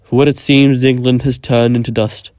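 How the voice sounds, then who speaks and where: A man's voice: medium pitch, level tone, moderate pace, a little mellow, no echo, clean studio sound, lightly acted. A man acting as a guarded, cocky lone survivor in a game cutscene, blunt and self-assured. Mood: matter-of-fact.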